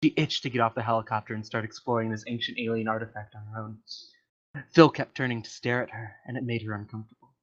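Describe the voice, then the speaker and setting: A man's voice: fairly low pitch, little pitch movement, quick pace. A man reading a story aloud in an amateur dramatic style, now and then stumbling over words and correcting himself. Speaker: reader of a comedic story to friends in a voice channel. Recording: dull lo-fi voice chat audio.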